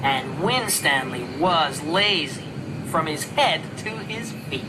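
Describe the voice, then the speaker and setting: A storyteller narrating a rhyming children's story in an expressive, measured storybook delivery. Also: mid-range voice, heavy background noise. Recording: loud music under the voice. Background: music.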